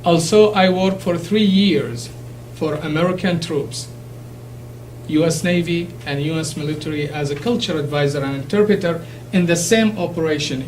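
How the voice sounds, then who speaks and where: Iraqi-accented man, native Arabic speaker, addressing a class, fairly high voice, earnest and emphatic, recorded from a distance with faint hum. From Iraq. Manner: earnest, emphatic, halting with restarts. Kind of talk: impassioned public speech. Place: classroom or lecture hall.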